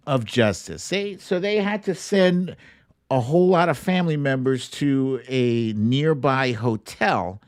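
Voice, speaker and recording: A man's voice: medium pitch, dry recording, clean studio sound. An American man hosting an online political commentary show, talking casually, bluntly and emphatically, with some swearing.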